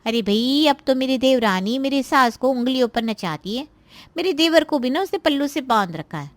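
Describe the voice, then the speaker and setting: A woman's voice: high-pitched, animated, normal speed, no room sound. A woman playing a scheming, spiteful married daughter in a cartoon moral story, with a nagging, insinuating and complaining manner. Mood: gossipy, warning.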